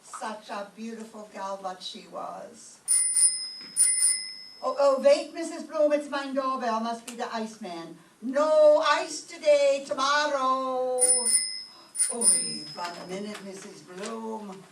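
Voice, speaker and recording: A woman's voice: on the high side, expressive, slow. Woman playing a Yiddish-accented fussing mother, roomy stage. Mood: chatty, excitable. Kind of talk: old-time radio comedy acting. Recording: roomy stage pickup, distant mic, faint noise.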